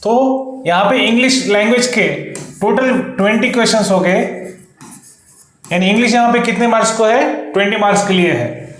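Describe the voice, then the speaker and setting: A man's voice: high voice, normal speed, fairly bright tone. A man with an Indian accent teaching an online exam lesson, explaining in an instructional way and mixing in English terms. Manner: instructional, mixes Hindi with English terms, frequent check-in tags. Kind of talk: explanatory online lesson, reading out syllabus lists. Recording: very echoey, reverberant room.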